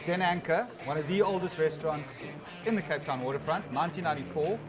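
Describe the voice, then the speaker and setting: A man's voice: on the high side, quick pace, music in the background. A man co-hosting a casual beer tasting show, playful and jokey as he presents.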